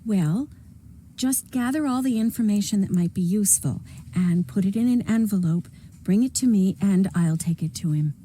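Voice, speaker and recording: A woman's voice: medium pitch, normal speed, mellow, slight background noise, close to an everyday voice. A woman playing a discreet, deferential police secretary in a video game, speaking in a polite, careful, secretive way. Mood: hushed, firm. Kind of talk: naturalistic video game voice acting.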